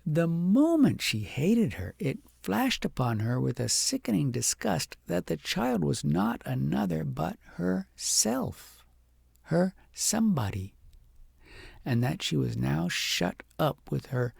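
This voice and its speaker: Male audiobook storyteller, very gravelly, animated pitch, dramatic fairytale delivery. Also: slow, dry recording, studio quality. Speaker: audiobook narrator. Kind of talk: expressive scripted fairytale narration.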